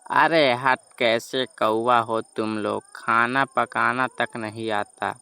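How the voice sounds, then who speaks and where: A man plays an old crow from a children's cartoon, greedy and scheming. He talks slowly in a low-key, clean voice, coaxing and preaching with open scorn for the young. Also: fairly even intonation, creaky, sharp tone, clean tone, exaggerated voice. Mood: scornful. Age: older. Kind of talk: Hindi children's cartoon dialogue.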